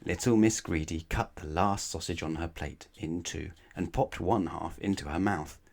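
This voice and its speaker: A man's voice: low-pitched, creaky, a little mellow. A man reading a children's bedtime story aloud in a playful, emphatic way, addressing the young listener. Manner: playful, emphatic, drawn-out key words, rhetorical questions to the listener. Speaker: storybook reader.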